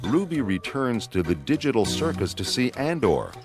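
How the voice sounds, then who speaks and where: An actor narrating a science-fiction radio play as a dramatic, deliberate storyteller with a gravelly voice. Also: male voice, mid-range voice, big pitch swings. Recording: music underneath.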